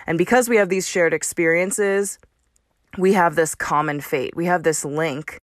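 A woman professor answers interview questions in a careful, academic manner. Her voice is very low for a woman, and the recording is dry. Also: unhurried, no room sound.

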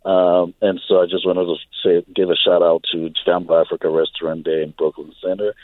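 A man from Liberia calling into a radio show, enthusiastic and a little halting. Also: sits low, little pitch movement, dry recording. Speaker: caller. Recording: phone line.

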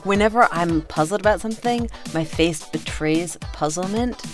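A woman's voice: lowish voice, moderate pace, soft background music. A woman podcast co-host being interviewed, chatting casually and jokingly.

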